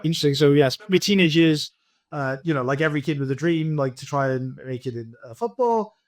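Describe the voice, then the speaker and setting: A man's voice: a little high, animated, fairly quick, no room sound, studio quality. A Welsh man in his forties, a poker pro guest in a casual podcast interview, talking loosely with many fillers and restarts. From Wales. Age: 40s. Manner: rambling, many fillers and restarts, laughs often.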